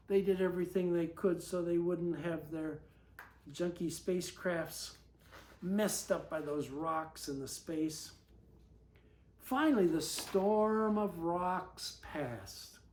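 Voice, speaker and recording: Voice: medium pitch, a little mellow, roomy sound. A storyteller reading a picture book aloud to children, breaking off for folksy, conversational asides. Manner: folksy, conversational commentary between read lines.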